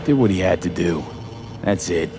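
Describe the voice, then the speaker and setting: Low-voiced, terse American mercenary. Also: male voice, lively intonation, normal speed, music in the background. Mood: guarded. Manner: clipped, streetwise, matter-of-fact. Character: terse, guarded young male mercenary in a cyberpunk city. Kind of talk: video game voice acting.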